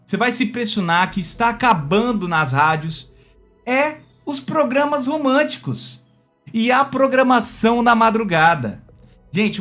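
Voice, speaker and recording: A Brazilian man hosting a casual opinion video, talking to viewers in an expressive, conversational way that often seeks their agreement. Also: a little high. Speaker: YouTube channel host.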